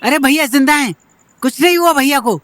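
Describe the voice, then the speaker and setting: A man voicing an excitable young lad from the neighborhood who shouts his lines. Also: very high-pitched, a little fast, dry recording. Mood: excited.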